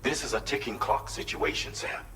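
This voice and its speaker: A man playing a brisk, businesslike mission controller briefing an operative in a video game. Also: medium pitch, sharp tone, reverberant, a little hiss or hum, natural voice. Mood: brisk, formal.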